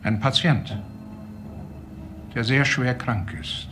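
A man's voice: mid-range voice, slow, fairly bright tone, soft background music. A man playing a grave, formal hospital professor in a crime drama, speaking matter-of-factly. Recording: clearly noisy background.

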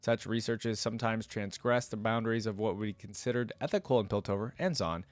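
A man's voice: lowish voice, brisk, dry recording. A young man hosting a reaction video, reading lore aloud and commenting casually into a close desk microphone. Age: young adult. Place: indoors at a desk.

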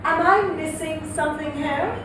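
An actress playing an arch, suspicious professional wife with a Jamaican accent, sardonic and measured in a stage comedy-drama. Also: female voice, sits high, lightly acted. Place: indoor theatre stage before an audience. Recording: echoey distant theatre, audience, background noise.

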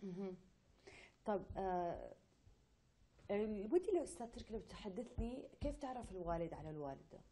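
Fast-talking woman interviewer, halting. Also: mid-range voice, big pitch swings, dark tone. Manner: hesitant, restarts questions. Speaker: interviewer, host. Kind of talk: interview questions.

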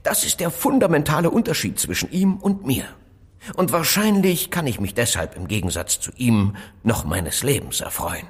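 An actor playing an evasive, cautious phone inventor in an audio drama, speaking politely and deliberately, with rhetorical questions. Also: male voice, mid-range voice, sing-song, slightly rough. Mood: knowing, insistent. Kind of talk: German audio drama dialogue acting.

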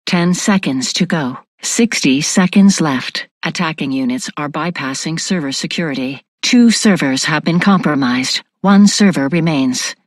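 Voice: mid-range voice, no room sound, lightly acted. An actor voicing an impersonal computer announcer for a futuristic military facility in a video game, clipped, formal and emotionless.

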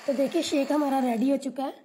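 Woman, cooking host, calm recipe voice-over, warm mellow timbre. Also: high voice, fast talker, a little hiss or hum. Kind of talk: step-by-step recipe narration. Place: home kitchen.

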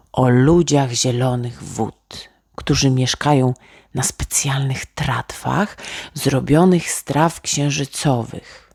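Woman, children's storyteller, gentle expressive narration, husky, very close dry sound. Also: rumbling low, dry recording. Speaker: storyteller, author reading own tale.

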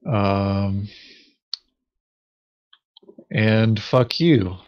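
A man's voice: lowish voice, very slow, highs cut off. A man chatting casually during a board game in a hesitant, terse, dry manner. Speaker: board game player in a recorded play session. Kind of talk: casual gameplay chat.

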